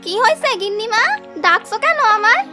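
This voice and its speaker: A woman's voice: very high-pitched, lively intonation, brisk, pushed and bright, soft background music, exaggerated voice. A woman voicing a loyal, chatty housemaid in a cartoon, talking in a familiar, cheeky, folksy way. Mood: eager, teasing. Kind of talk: animated cartoon story dialogue.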